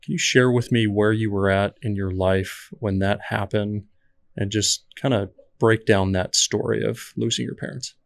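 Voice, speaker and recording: A man hosting a podcast who asks probing questions in a measured, conversational way. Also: on the low side, steady tone, creaky, dry recording. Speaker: podcast host. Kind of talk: interview questions. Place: podcast studio.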